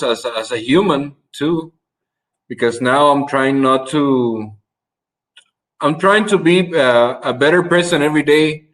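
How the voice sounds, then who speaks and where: Young male panelist, slow and halting, searching for words. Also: mid-range voice, poor audio. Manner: hesitant, many restarts and "uh"s. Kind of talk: casual panel discussion.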